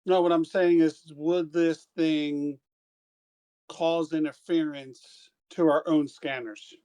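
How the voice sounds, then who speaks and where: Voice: medium pitch, steady tone, slow, dark tone, clean tone. Casual player asking questions. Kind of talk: casual tabletop game chat.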